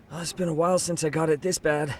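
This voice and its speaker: A man's voice: a little high, slight background noise, natural voice. An actor playing a battered male sidekick in a game dub, queasy, in pain and wearily complaining. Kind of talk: dubbed video game cutscene acting.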